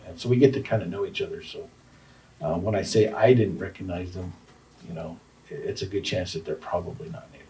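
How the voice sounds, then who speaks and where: A man's voice: low voice, brisk, dark tone, slight background noise. A man, an artist, answering panel questions thoughtfully, with restarts and hedges. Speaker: artist and exhibition organizer. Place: art center gallery room. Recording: distant mic in a room.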